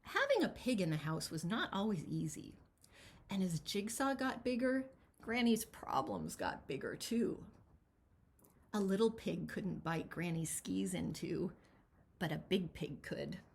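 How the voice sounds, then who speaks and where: A woman reading a picture book aloud as its author, with expressive, dramatic storytelling. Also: fairly low pitch, a little slow, vocal fry. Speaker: children's author reading their own book.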